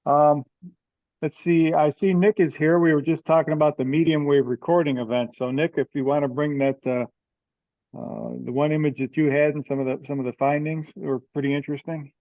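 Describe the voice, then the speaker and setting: A man's voice: fairly high pitch, little pitch movement, brisk, no room sound. A man chairing a casual online meeting over a conference call. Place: teleconference. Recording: dull, dry, band-limited conference call.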